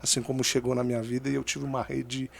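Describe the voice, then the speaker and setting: Brazilian guy in his 30s, gravelly voice, easygoing podcast chat, keeps saying né. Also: mid-range voice, flat delivery, fast. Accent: Brazilian Portuguese, mild Minas Gerais. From Minas Gerais, Brazil. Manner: conversational, frequent "né" tags and restarts. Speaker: podcast guest. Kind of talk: casual testimony.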